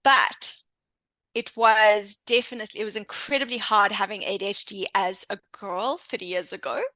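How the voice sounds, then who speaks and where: A woman in her late thirties with a South African accent speaks as a podcast guest. Her voice is crisp and bright, and the sound is close and dry with no room around it. She talks in a chatty way and often breaks off and restarts a sentence. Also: mid-range voice, fairly even intonation, moderate pace, no room sound. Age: 30s. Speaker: podcast guest, intuition coach. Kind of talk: casual interview chat.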